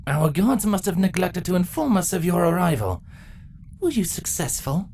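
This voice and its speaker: A man's voice: high-pitched, sing-song, moderate pace, darkish tone, slight background noise, voice pushed up. A man voicing a cold, regal changeling queen with a stately, formal manner in a fantasy story reading. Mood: cold, composed.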